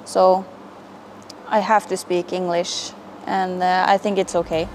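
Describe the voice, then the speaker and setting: A woman's voice: lowish voice, little pitch movement, measured pace, noisy background. A woman coal miner answering an interview casually, hesitating with fillers.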